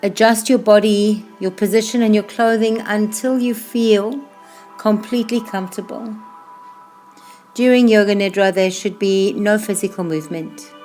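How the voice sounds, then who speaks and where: A woman's voice: medium pitch, steady tone. Soothing woman guiding meditation over music. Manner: soothing, unhurried, phrases trailing off softly. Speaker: yoga nidra instructor. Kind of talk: guided meditation, slow calm instruction. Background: music playing behind the voice.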